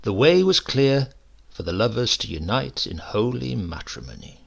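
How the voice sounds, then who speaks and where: A man with a husky voice reading a literary story in a measured, wry way. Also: medium pitch, big pitch swings. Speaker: story narrator. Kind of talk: scripted literary narration.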